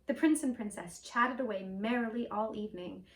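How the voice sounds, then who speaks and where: A woman in costume reading a fairy tale aloud in a gentle, expressive storytelling voice. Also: a little high, moderate pace. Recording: roomy echo, mic at a distance.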